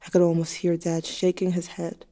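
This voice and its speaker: A woman's voice: lowish voice, completely flat delivery, normal speed, warm tone, close to an everyday voice. A young woman playing a grieving, anxious teenager, narrating an intimate, wry diary to a dead sibling in an audio drama. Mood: subdued, tender.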